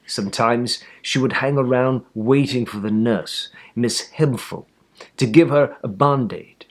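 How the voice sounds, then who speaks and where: A man reading a children's story aloud with animated, theatrical emphasis. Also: mid-range voice, unhurried. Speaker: storybook reader.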